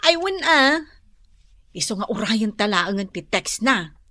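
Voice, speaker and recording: A woman acting an excitable, gossipy village housewife with an Ilocano accent in a comedy radio drama, exclamatory and quick to laugh. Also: mid-range voice, no room sound. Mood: chatty, defensive.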